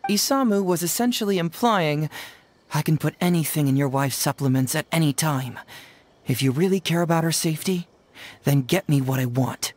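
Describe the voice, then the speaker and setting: A man's voice: a little high, animated, normal speed, slight background noise, natural voice. A man voicing a brainy, confident young detective in a video game, explaining and teasing in a self-assured, playful way. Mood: explaining, sly.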